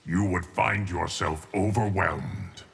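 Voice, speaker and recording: A man playing a solemn, stern immortal guardian of time in a video game, speaking formally in a deep, gravelly voice. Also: steady tone, slow, fairly bright tone, faint music behind, exaggerated voice. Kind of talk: video game character dialogue.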